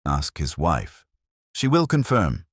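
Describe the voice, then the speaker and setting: A man's voice: very deep voice, huge pitch swings, takes it slowly, slightly rough, no room sound, studio quality, heavily acted. A man voicing a pompous, self-important town councillor who talks as if making a speech for posterity. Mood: pompous, aggrieved. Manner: oratorical, as if addressing posterity.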